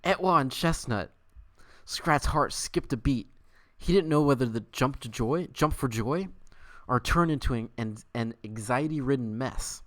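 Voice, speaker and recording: A man's voice: mid-range voice, measured pace, fairly bright tone, dry recording. Theatrical man with a close, dry sound. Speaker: livestream host. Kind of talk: dramatic fan fiction reading and casual stream chat.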